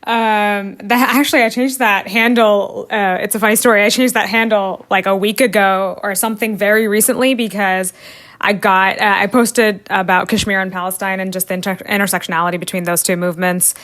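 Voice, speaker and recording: A woman's voice: mid-range voice, steady tone, a little fast, a bit bright, no echo. A young woman from South India, a podcast guest who talks freely with many fillers and frequent laughs. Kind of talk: casual podcast interview.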